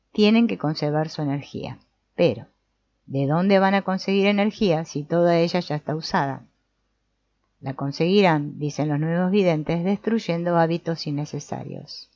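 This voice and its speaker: A woman voicing an old male sorcerer teacher, calm and didactic, speaking in a measured, explanatory way with the odd small restart. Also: fairly low pitch, natural voice. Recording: dull, muffled audio.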